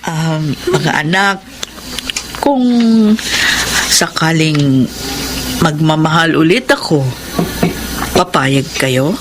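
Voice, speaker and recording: A woman playing a hardworking, earnest widowed mother in a radio drama, with a Filipino accent and a tender, halting delivery. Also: low voice, noisy background. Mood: gentle, hesitant.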